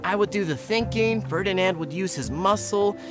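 A man's voice: sits high, normal speed, over music, natural voice. An actor playing a brainy, self-assured young man in a video game, speaking articulately. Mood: reassuring. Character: brainy, reassuring young brother, proud of his ideas.

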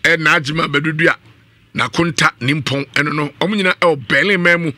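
A Ghanaian man hosts a radio talk show in middle age. His voice is very bright and forceful, a little high for a man, and recorded dry and close on a studio mic. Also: normal speed, dry recording. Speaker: radio host. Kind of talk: live radio talk show hosting with ad-libbed sponsor reads. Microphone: studio condenser mic on boom arm, up close.

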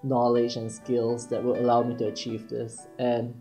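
A young man from Malaysia, a college student, giving earnest interview answers with frequent pauses. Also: mid-range voice, completely flat delivery. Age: young adult. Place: indoor room. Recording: background music under voice.

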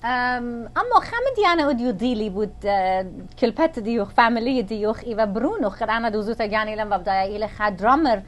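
A middle-aged woman hosts an interview, her voice sitting a little higher than usual. She asks long, flowing questions, recorded on a lapel mic in a small indoor lounge. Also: animated, fast talker, hardly any pauses. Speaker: interview host. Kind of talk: hosted interview.

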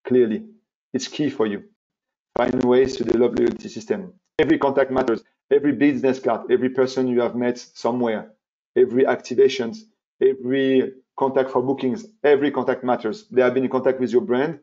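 A middle-aged man presents a business webinar over slides. His voice is mellow and a little dull, as through muffled webinar audio. He repeats key words for emphasis and often restarts his sentences. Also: medium pitch, steady tone, brisk. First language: French. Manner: repetitive, emphatic, with frequent fillers and restarts. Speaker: webinar presenter, marketing consultant.

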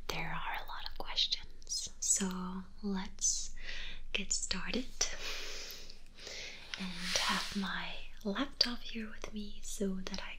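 A Danish woman in her twenties, whose first language is Danish, softly whispering answers to viewer questions in an ASMR video from her bedroom. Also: medium pitch, poor audio. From Denmark. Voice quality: breathy whisper. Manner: soft, slow, unhurried whispering. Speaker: ASMR creator. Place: bedroom, indoors.

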